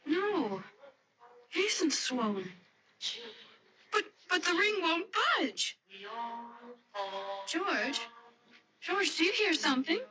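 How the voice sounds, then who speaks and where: Radio mystery fiancée, young American: bright, high, animated voice, stubborn yet anxious, quick to protest. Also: female voice, a little hiss or hum, full character voice, rough recording. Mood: uneasy, puzzled. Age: young adult. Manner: hesitant, protesting, emotional. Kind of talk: radio mystery drama acting.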